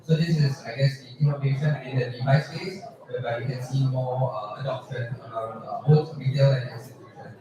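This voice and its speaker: A man's voice: mid-range voice, steady tone, a little fast, hardly any pauses. A man talking as a panelist in an unscripted discussion at an event. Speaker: panelist, partnerships lead. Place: event hall panel with audience. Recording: very echoey hall, distant, crowd murmur.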